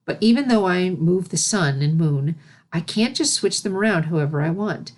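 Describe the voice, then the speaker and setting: Lady doing a storybook pony princess, lowish voice, regal n gentle, patient teacher type, kinda formal. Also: lively intonation. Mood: patient, explanatory. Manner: measured, formal.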